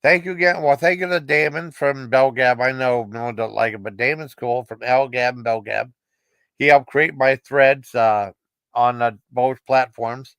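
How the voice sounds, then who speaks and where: Guy hosting a livestream, casual rambly chat, normal pitch, pretty dry sound. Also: steady tone, normal speed, fairly bright tone, clear, resonant tone, no echo. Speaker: livestream host.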